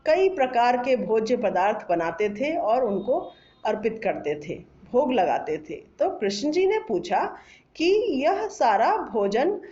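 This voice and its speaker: A woman's voice: a little high, mellow. A woman telling a bedtime story to children in an instructive, questioning manner. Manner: instructive, asks listeners questions. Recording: echoey room, slightly dull sound.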